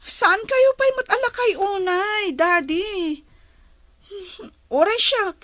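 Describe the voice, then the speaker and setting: Sickly young filipino daughter in a radio drama, really high pleading voice, dry close sound. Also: female voice, animated, slow, a bit bright, dry recording, exaggerated voice. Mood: weakly reassuring. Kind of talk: Ilocano radio drama acting.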